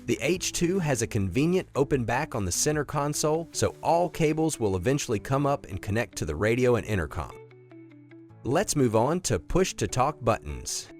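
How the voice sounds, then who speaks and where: A man with a medium-pitched voice narrates a scripted how-to guide. He moves through each step clearly and methodically, like a product installation narrator. Music plays faintly behind him. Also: big pitch swings, moderate pace.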